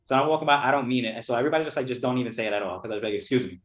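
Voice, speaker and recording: Young New York-accented man as a podcast guest, mid-pitched, talks fast, relaxed with easy laughs. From Brooklyn, New York. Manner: relaxed, laughs lightly, hedging fillers. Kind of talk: casual conversation.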